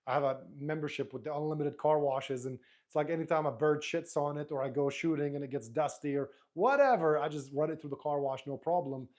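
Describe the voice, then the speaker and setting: A man whose first language is Arabic, hosting a live stream in a relaxed, rambling way, reading viewer comments aloud and answering them. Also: on the high side, fairly quick. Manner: relaxed, rambling, frequent restarts and fillers.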